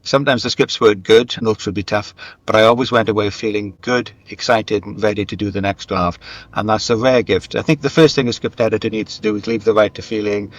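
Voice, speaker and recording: A man's voice: lowish voice, fairly even intonation, brisk, dry recording, low-quality recording. A middle-aged or older man, an interview guest and screenwriter, talking reflectively and conversationally about his work, often restarting his sentences. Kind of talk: conversational interview, recounting a career.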